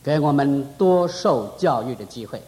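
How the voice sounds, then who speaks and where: Fervent preacher in an echoing hall. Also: male voice, medium pitch, expressive, normal speed, darkish tone. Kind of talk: sermon. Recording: reverberant hall.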